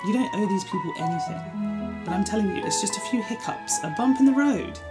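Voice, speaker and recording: A sassy young British man played by an actor in an audio drama. He is sarcastic, theatrical and easily exasperated, over clearly audible music. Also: high voice, expressive, fairly quick. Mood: concerned. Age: young adult.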